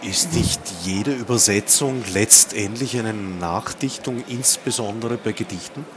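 A man interviewing a guest, putting his questions in formal, carefully phrased German with a measured delivery. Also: lowish voice. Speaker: interviewer, radio editor. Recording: noisy, with clear ambient background noise.

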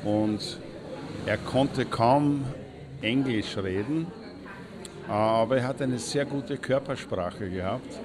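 A man's voice: medium pitch, takes it slowly, crowd noise. A man telling an anecdote in a casual, conversational way.

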